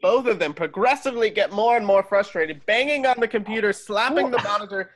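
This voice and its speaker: A man narrates screenplay directions during a casual table read. His voice is very high for a man, recorded at home on a video call. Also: fairly quick, fairly bright tone. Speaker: stage direction reader.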